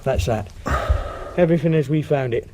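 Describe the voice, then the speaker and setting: A man's voice: a little high, normal speed, mellow, close to an everyday voice. A man acting in a radio drama as a cautious, matter-of-fact burglar for hire, giving terse, bossy instructions in colloquial speech. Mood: businesslike, calm.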